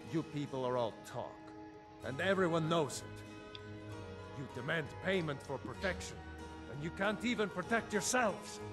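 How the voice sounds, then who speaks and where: A man's voice: on the high side, animated, normal speed, close to an everyday voice. An actor playing an indignant, scornful male shopkeeper in a video game, speaking in a clipped, dismissive way. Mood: scornful, defiant. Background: game music.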